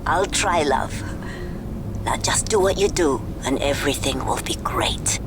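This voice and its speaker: A woman's voice: expressive, measured pace. A woman playing a racing driver's middle-aged, affectionate mother in a naturalistic game cutscene. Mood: reassuring, affectionate. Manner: reassuring, affectionate. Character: gentle, supportive mother of a young racing driver. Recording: clear rumbling background noise.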